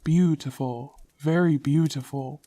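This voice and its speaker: A woman putting on the voice of a creepy man, with a slow, low murmur. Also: dark tone. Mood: leering. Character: creepy man muttering compliments.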